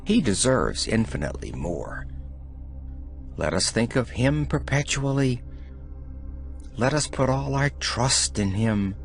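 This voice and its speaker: A man reading devotional letters aloud as an audiobook narrator, in a measured, solemn manner. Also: medium pitch, big pitch swings, creaky, faint music behind. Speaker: audiobook reader.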